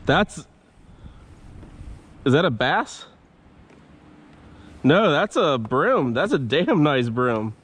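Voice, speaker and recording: A man's voice: a little high, drawn-out words, pauses often, a little hiss or hum. Laid-back man narrating from a kayak. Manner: relaxed, chatty, swears freely. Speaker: kayak fishing vlogger. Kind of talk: casual narration while fishing. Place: outdoors in a kayak on a swampy creek. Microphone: head-mounted action camera.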